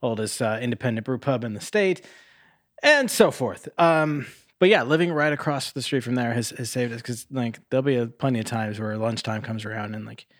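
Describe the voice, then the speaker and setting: A man with a middling pitch talks casually as a podcast co-host. He rambles and swears freely, recorded close and dry with very little room sound. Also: brisk, no echo. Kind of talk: casual chat.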